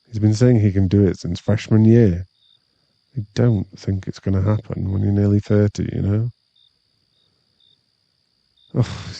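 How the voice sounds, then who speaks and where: A man playing an awkward, tender former bully of nearly thirty in an intimate ASMR boyfriend roleplay, speaking with halting sincerity. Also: low voice, vocal fry, no echo, highs cut off, voice pushed down. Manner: hesitant, halting, soft-spoken sincerity.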